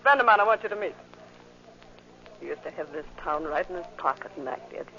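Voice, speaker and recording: An actress playing a brassy, jealous barroom woman, loud, slangy and wisecracking, in a 1940s radio drama. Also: fairly low pitch, expressive, brisk, gravelly. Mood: brassy, teasing. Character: brassy, jealous, sharp-tongued woman who runs a bar. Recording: muffled old radio recording, faint noise.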